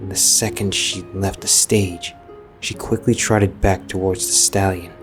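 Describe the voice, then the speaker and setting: Low-voiced male story narrator. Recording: music behind the voice.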